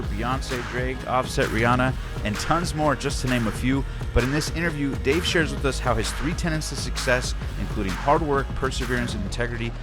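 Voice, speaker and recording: A man hosting a podcast reads a scripted introduction in an emphatic, promotional way, building up his guest. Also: mid-range voice. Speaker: podcast host. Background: music.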